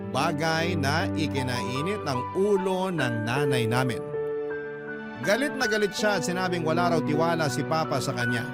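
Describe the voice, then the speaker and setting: Loud background music runs under a man's narration. His voice sits a little higher than average. He reads a scripted personal story as a radio drama narrator. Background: prominent background music.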